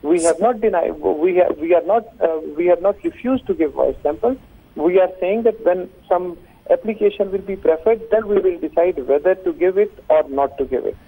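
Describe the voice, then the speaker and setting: A man's voice: sits high, breathy edge. Pakistani male lawyer on a phone-like line. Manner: hesitant, halting, legalistic. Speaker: defence lawyer, interview guest. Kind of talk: formal legal argument in a news interview. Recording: thin, narrow phone-like line.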